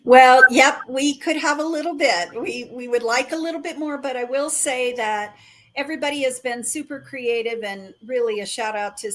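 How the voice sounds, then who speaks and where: A woman's voice: high voice, normal speed, a bit bright, poor audio. A middle-aged woman hosting a community chamber of commerce livestream, upbeat and promotional as she announces events and welcomes guests. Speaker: chamber of commerce director hosting a live show.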